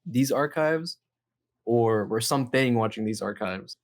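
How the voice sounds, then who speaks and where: A man's voice: medium pitch, animated, measured pace. A man in a casual chat as a host, explaining things in a hesitant, rambling way. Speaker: podcast host explaining a horror series. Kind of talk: casual chat, reacting to videos.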